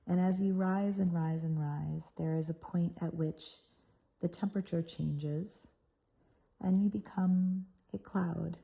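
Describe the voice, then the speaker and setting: Very mellow, dark-voiced woman guiding meditation. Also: fairly low pitch, steady tone, gravelly, slightly rough. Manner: soothing, unhurried phrasing with pauses between short phrases. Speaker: guided meditation host. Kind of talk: guided meditation, soft guided visualization.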